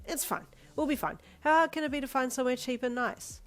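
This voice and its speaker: A woman voicing a young woman who switches between dry deadpan and shrieking excitement. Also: high voice, a little fast, voice pushed up, natural voice. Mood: cheerful.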